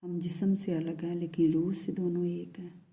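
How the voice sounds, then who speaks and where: A woman speaking in first person as a lovesick man who pleads tenderly with his beloved, in a soft, hushed voice that often drops to a whisper. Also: lowish voice, flat delivery, normal speed, natural voice. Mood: tender, pleading. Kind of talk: channelled love message in first person.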